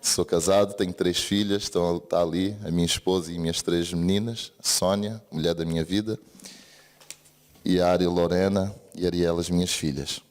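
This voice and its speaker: A man with a deep, low voice preaches in a church, his Brazilian accent mixed with a European Portuguese lilt. He speaks earnestly and keeps turning to the congregation for an amen. A long reverb from the church hall trails behind every phrase. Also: fairly even intonation, normal speed, darkish tone. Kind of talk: church sermon.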